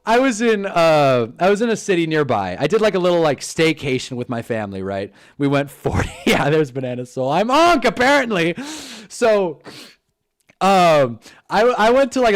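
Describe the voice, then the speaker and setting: Animated young Californian guy. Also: a little high. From Southern California. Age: 20s. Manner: animated, swearing, storytelling. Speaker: streamer host. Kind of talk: casual live-stream commentary.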